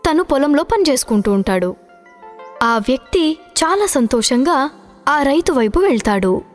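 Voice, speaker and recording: Lady reading a kids story, high voice, really animated, bit of music under it. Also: moderate pace. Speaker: story narrator. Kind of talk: scripted children's story narration. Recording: music behind the voice. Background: background music.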